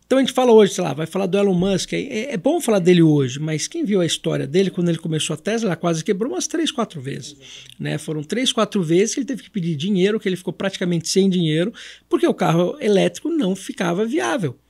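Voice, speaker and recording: A man's voice: sits high, very animated, fast talker, creaky, darkish tone. A Brazilian man as a podcast guest, explaining conversationally in a didactic way with repetition and self-answered questions. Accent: Brazilian Portuguese.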